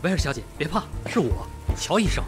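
An actor playing a calm, reassuring male doctor in a radio drama. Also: fairly high pitch, brisk, over music. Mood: calm, concerned.

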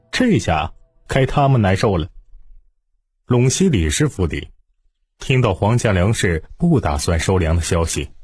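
嗓音沙哑的男性有声书旁白. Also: male voice, lowish voice, darkish tone, husky. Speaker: audiobook narrator. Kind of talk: dramatic scripted storytelling narration.

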